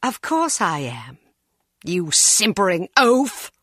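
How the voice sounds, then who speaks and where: A woman voicing a haughty, scornful baroness in a video game, imperious and drawn-out. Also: medium pitch, big pitch swings, a bit bright, no room sound, clean studio sound, heavily acted. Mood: contemptuous.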